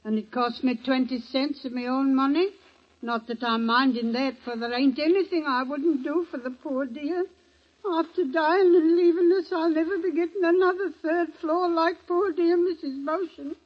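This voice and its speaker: An actress playing a chatty boarding-house helper who tells everything with great drama, in an old radio play. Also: female voice, sits high, fairly even intonation, normal speed, close to an everyday voice. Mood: gabbing. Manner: garrulous, dramatic storytelling. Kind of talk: 1940s radio acting. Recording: dull old radio recording.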